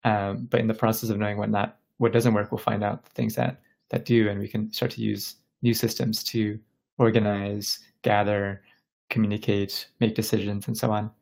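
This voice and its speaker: A man's voice: lowish voice, level tone, moderate pace, creaky, darkish tone. A man from Bangladesh speaking as an interview guest, explaining things casually and thoughtfully, often searching for his words. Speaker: tech company founder interviewed as podcast guest.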